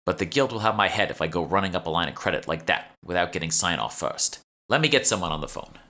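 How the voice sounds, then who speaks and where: A man reads the part of a young woman officer of a guild in a fantasy audiobook. She is impatient and curt, with a sarcastic bite. The delivery is bright and forceful, with lively swings in pitch. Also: fast talker, exaggerated voice. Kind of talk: audiobook character dialogue.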